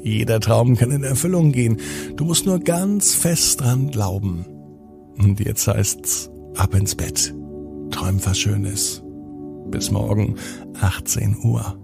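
Man slowly narrating a children's bedtime story. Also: lowish voice, gravelly, music in the background. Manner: expressive, addressing a child listener.

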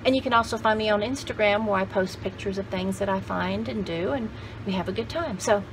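A woman's voice: medium pitch, fairly quick. A woman in her sixties chats casually to camera as a vlog host, rambling and enthusiastic, with exclamations. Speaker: vlog host showing thrift haul finds. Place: cluttered home craft room, indoors. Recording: clearly noisy home video background.

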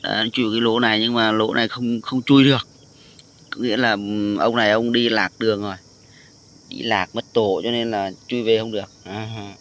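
A man with a fairly low voice narrates a casual outdoor vlog. He explains in an informal, halting manner, pausing to find his words as he goes. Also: level tone, fairly bright tone. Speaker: vlogger. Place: outdoors on a sandy tidal beach.

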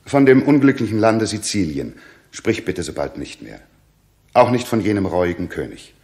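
A middle-aged king in a sixties radio play. His lowish voice moves with lively intonation. He is stately and commanding, yet troubled. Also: moderate pace. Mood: pleading, firm. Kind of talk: 1960s German radio drama acting.

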